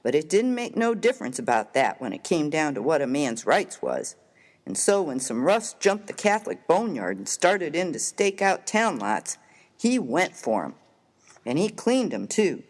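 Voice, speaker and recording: Male actor as Old West miner, American accent, rough, hearty, good-natured, folksy slang, very animated intonation, humorous story reading. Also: on the high side, moderate pace. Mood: earnest, boastful.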